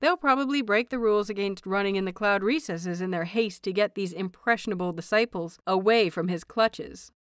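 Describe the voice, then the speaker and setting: Audiobook narrator, woman, medium pitch, expressive and measured with story prose, very dry sound. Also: clear, resonant tone, no room sound. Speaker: audiobook narrator of fan fiction.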